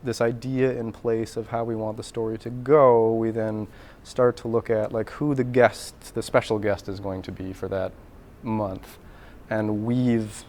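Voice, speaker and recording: A man answering interview questions casually, in a halting, thoughtful way that often restarts. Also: medium pitch, a little mellow. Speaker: radio drama creator and performer being interviewed.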